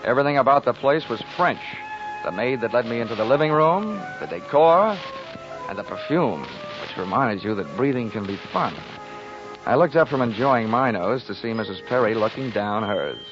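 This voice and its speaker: A man's voice: mid-range voice, animated, moderate pace, lightly acted. A male actor playing a cocky, wisecracking insurance investigator in a 1940s radio drama, with a dry, sardonic delivery. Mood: wry narrating. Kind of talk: 1940s radio detective narration and acting. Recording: muffled 1940s radio recording, music behind.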